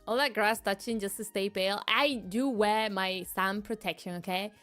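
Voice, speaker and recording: A woman's voice: mid-range voice, moderate pace, a bit bright, soft background music. A woman streamer, chatting casually and giggling often, restarting her sentences. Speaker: VTuber streamer. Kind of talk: casual chat reading live chat.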